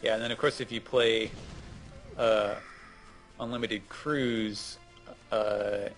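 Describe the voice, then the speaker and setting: A man's voice: fairly low pitch, fairly even intonation, slow, faint music behind. A man talking casually as a co-host on a gaming show, chatting and giving game advice.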